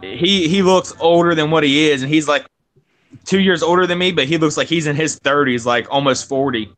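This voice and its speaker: A man's voice: a little high, brisk, fairly bright tone. A young man co-hosting a live stream over a video call, chatting casually, joking and swearing freely. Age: young adult. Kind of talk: casual chat.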